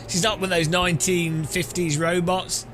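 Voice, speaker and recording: A man's voice: high-pitched, level tone, a little slow. A man streaming a sim racing game, chatting casually and teasing his viewers with rambling jokes. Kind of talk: casual live-stream chat while driving. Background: loud electronic music.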